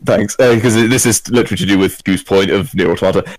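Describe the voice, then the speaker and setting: A man with a fairly low voice takes part in a casual group discussion. The recording is dry, and he speaks informally as a podcast panellist. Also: quick pace, dry recording.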